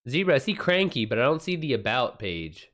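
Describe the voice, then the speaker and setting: Male livestreamer, mid-range voice. Casual chat with viewers, slangy and halting, swears freely. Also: mid-range voice, sing-song, fairly bright tone. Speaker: livestream host and writer.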